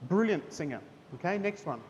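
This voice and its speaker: A man's voice: lively intonation, brisk, darkish tone, lo-fi sound. A man, a teacher speaking at a school chapel in a chatty manner, often asking "okay?". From New Zealand. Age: 30s. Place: school hall. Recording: hall reverb, faint rumble.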